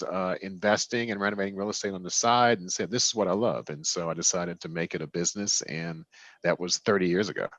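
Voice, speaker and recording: A man in his fifties from Washington, D.C., a real estate developer answering interview questions in a thoughtful way with plenty of pauses and restarts. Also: fairly low pitch, fairly bright tone, no echo, muffled recording. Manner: thoughtful, many fillers and restarts.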